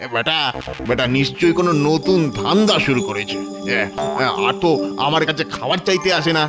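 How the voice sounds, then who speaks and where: A man's voice: fairly high pitch, animated, brisk, over loud music, natural voice. A man voicing a greedy, jealous, scheming village man in a cartoon folk tale, muttering and repeating his words in an exaggerated way. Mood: suspicious, grumbling.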